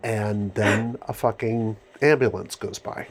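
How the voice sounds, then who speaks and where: A man's voice: on the low side, takes it slowly, breathy edge. A congested man hosting a book club podcast, talking in a casual, dry, self-mocking way. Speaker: podcast host.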